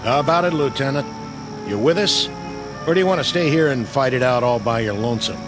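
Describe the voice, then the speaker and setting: An actor playing a hard-bitten, sarcastic army sergeant who needles people, in a radio drama. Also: male voice, mid-range voice, a little fast, music in the background, close to an everyday voice. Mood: bitter sarcasm. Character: hard-bitten, sardonic army sergeant baiting his lieutenant.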